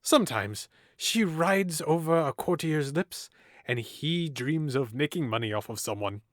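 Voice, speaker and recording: Man doing a witty young noble, mocking, theatrical, very animated pitch, dry close sound. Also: a little slow, dry recording. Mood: playful. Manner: playful, teasing, theatrical, swings from hushed to loud. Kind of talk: audio drama acting.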